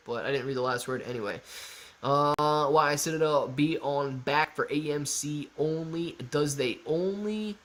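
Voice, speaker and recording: A man in his thirties hosting a live stock market Q&A, reading viewer chat with playful, animated banter. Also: fairly high pitch. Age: 30s.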